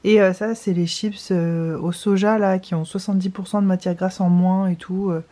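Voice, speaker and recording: A woman's voice: on the low side, fairly even intonation, moderate pace, warm tone. A young woman vlogging in casual chat to camera, chatty and rambling, with many fillers and restarts. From France.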